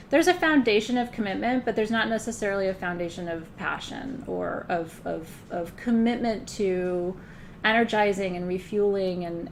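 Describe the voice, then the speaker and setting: A woman's voice: lowish voice, vocal fry, slight background noise. A woman teaching a live spiritual class in a loose, conversational way, often checking in with her listeners. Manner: loose, frequent fillers and restarts.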